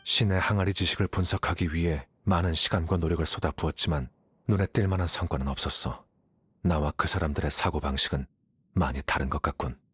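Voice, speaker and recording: Game role: rational young man of learning, low register, aloof, unhurried logic, matter-of-fact delivery. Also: fairly bright tone, slightly rough, dry recording, exaggerated voice. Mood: cool, firm. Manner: measured, detached, matter-of-fact. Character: aloof rational young male scholar, coolly self-assured. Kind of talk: anime game voice acting.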